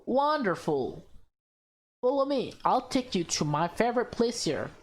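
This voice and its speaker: A woman voicing a bossy, excitable young woman in a visual novel, teasing and theatrical. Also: on the high side, a little slow, voice pushed up. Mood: delighted.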